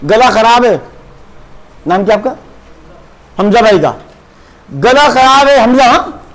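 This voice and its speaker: Animated, high-pitched Pakistani man lecturing. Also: takes it slowly, rough recording. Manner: animated, switches between Urdu and English, acts out students' voices. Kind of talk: classroom lecture. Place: classroom.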